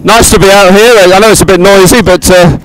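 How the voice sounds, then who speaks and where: Male live-event presenter, high smooth voice, effusive, chatty, repeats himself for emphasis. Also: steady tone, brisk, rough recording. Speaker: sports presenter and co-commentator. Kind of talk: live unscripted event commentary and chat. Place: outdoors at a busy regatta venue.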